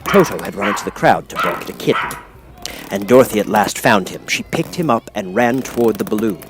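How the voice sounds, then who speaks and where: A man's voice: on the low side, expressive, a little fast, noisy background. A man reading a children's story as scripted audiobook narration. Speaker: audiobook reader.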